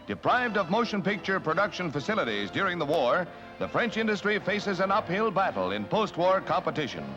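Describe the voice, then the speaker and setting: High-pitched, dramatic American newsreel announcer, man. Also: very animated, brisk. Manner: dramatic, declamatory delivery. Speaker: newsreel narrator. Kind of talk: 1940s newsreel scripted narration. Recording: dull lo-fi 1940s newsreel soundtrack. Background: newsreel music.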